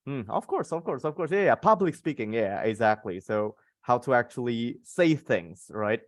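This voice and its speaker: A man's voice: medium pitch, lively intonation, normal speed, no room sound, clean studio sound. A man with an Indonesian accent teaching a casual live English class, repeating words and phrases as he explains encouragingly. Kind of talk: casual conversational lesson, switching between two languages.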